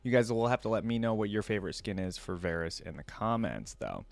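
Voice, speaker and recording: A man's voice: on the low side, expressive, brisk, gravelly, darkish tone, no echo. A young man hosting a reaction video, commenting casually and musing aloud as he goes. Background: faint music.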